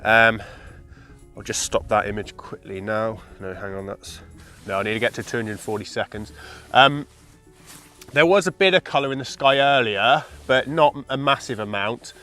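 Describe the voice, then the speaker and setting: Man, 30s, croaky flu voice, casual vlog chat, rambling with ums, outdoors, camera at arm's length. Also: lowish voice, normal speed, faint music behind. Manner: rambling, relaxed, many ums and kind ofs. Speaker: landscape photography vlogger. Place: outdoors on open moorland. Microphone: camera held at arm's length.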